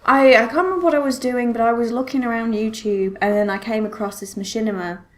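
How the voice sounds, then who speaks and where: A woman's voice: fairly high pitch, steady tone, quick pace. A woman co-hosting a podcast, chatting casually about films and often thinking aloud. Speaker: podcast co-host. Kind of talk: casual film discussion chat.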